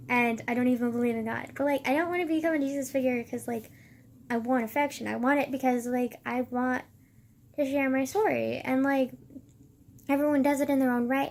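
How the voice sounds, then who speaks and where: A young woman vlogger with a soft voice, talking in a rambling, hesitant way full of filler words. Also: high-pitched, slight background noise. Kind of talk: personal reflective vlog monologue.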